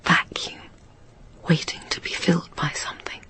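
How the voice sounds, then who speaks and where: An actress playing a guilt-haunted signalman's daughter in a radio drama monologue, recalling in a breathy, hushed, halting voice. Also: whispered, close to an everyday voice, poor audio. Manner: slow, halting recollection.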